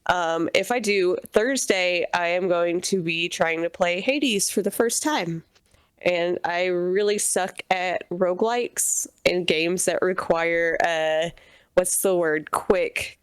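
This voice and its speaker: A woman guest on a casual podcast, relaxed and sniffly from a cold. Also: lowish voice, smooth, no echo.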